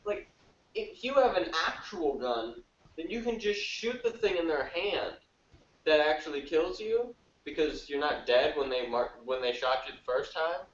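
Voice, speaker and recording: A man's voice: on the high side, lively intonation, unhurried. A man giving blunt, dismissive opinions in a casual TV review chat, recorded roomy and distant. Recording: roomy, distant, dull, noisy audio.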